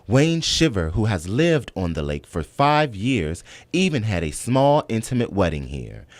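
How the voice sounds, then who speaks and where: American newsman with animated, dry-recorded delivery. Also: on the low side, measured pace, dry recording. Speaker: television news reporter. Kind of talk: scripted news narration.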